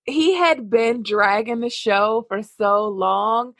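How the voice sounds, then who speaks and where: A woman's voice: a little high, slow, fairly bright tone, no room sound, clean studio sound. A woman hosting a podcast, chatting casually with many "like" fillers. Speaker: podcast host.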